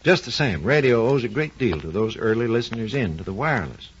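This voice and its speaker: Muffled 1940s radio lecturer, animated American man. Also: medium pitch, a little fast, husky. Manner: formal, explanatory lecturer. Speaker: research engineer presenting a science program. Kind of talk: scripted 1940s educational radio talk.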